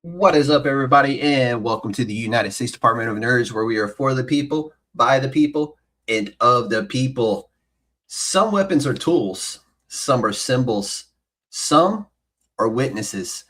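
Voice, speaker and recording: A man's voice: mid-range voice, animated, fairly bright tone. A man hosting a podcast, who reads a dramatic scripted intro and then chats casually with his guest. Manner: dramatic, measured delivery in the intro. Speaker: podcast host.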